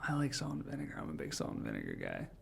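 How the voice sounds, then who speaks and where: A man hosting a podcast, chatting casually, making jokes and asking quick questions. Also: a bass voice, wildly swooping pitch, gravelly, slight background noise. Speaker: podcast host. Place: podcast studio.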